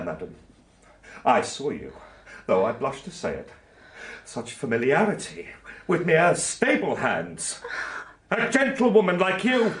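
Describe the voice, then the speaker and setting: An actor playing an angry, fearful gentleman father afflicted in his mind, accusing and agitated, in an audio drama. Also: roomy sound. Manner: accusing, agitated, swinging from low scolding to cries.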